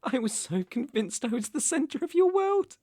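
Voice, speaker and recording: A man with a British accent playing an unstable, obsessive mafia boss in a dramatic ASMR roleplay, possessive and volatile, with a breathy, gravelly voice. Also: extremely high pitch, sing-song, normal speed, mellow, no echo, clean studio sound, exaggerated voice. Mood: wounded, menacing. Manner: possessive, volatile, swinging from whispers to outbursts. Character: unstable, obsessive, menacing male mafia boss, jilted lover.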